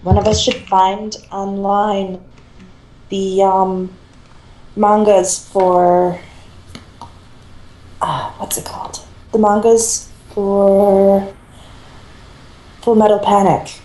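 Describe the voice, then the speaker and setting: Female stream co-host, relaxed mellow tone, casual delivery, roomy sound. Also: mid-range voice, very slow, pauses often. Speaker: co-host of a fan fiction reading stream. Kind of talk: casual chat. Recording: roomy, echoey home recording.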